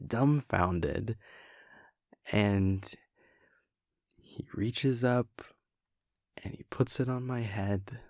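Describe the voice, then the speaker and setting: A man playing an affectionate, playful vampire prince boyfriend in an intimate roleplay audio, speaking in a relaxed, conversational drawl with a low, creaky voice. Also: dry recording, clean studio sound. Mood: fond, proud. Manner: halting, chuckling, relaxed drawl.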